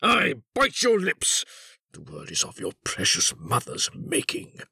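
A vindictive old husband, pitched far above a normal low register and swinging wildly. The voice is husky and slow, declaiming each bitter accusation in high-strung audiobook melodrama. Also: male voice, wildly swooping pitch, bright, forceful tone, dry recording, clean studio sound, full character voice. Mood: enraged. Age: 60s. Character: furious, vindictive old English knight and wronged husband. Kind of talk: dramatic audiobook character reading.

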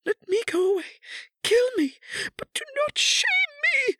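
A male narrator plays a frail gentlewoman in a very high, lively voice. She is tearful and beseeching, desperate in the style of audiobook melodrama. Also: a little slow, dry recording, heavily acted. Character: frail, pleading middle-aged gentlewoman, wronged and desperate. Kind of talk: dramatic audiobook character reading.

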